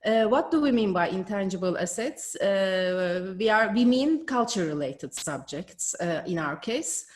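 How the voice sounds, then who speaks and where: A woman's voice: medium pitch, expressive, unhurried, hardly any pauses. Turkish-accented woman giving keynote. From Turkey. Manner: careful, frequent hesitations. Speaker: keynote speaker. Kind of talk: online conference keynote. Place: home.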